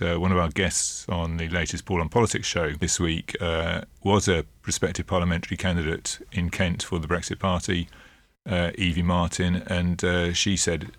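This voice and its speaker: A man co-hosting a political podcast, explaining in a measured, hesitant way with many fillers and sounding a little under the weather. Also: low voice, dry recording. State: a bit ill. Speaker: co-host and political editor. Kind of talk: casual political podcast chat.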